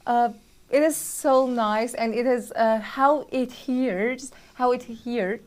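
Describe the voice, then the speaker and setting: A young woman from Iran with a Persian accent, a student answering interview questions in English, pausing often with fillers and restarts. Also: fairly high pitch, darkish tone. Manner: hesitant, many fillers and restarts. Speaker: student guest.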